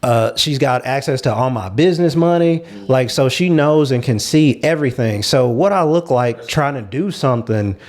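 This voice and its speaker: A man's voice: medium pitch, animated, normal speed, few pauses, a little mellow. A man speaking as a panelist in a casual discussion, explaining his views in a frank way.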